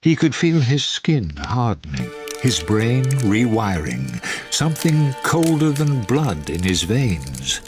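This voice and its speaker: An actor playing the grave storyteller of a dark radio drama, in scripted narration. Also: male voice, fairly low pitch, wildly swooping pitch, slow pace, hardly any pauses, over music, full character voice. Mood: ominous.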